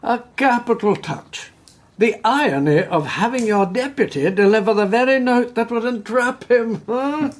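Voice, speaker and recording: An actor playing a wry, sardonic Victorian gentleman in a radio drama. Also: very high voice, lively intonation, normal speed.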